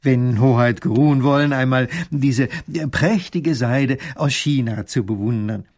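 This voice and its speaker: A man voicing an obsequious ship captain who flatters with flowery courtly phrases. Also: medium pitch, measured pace, lightly acted. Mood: fawning.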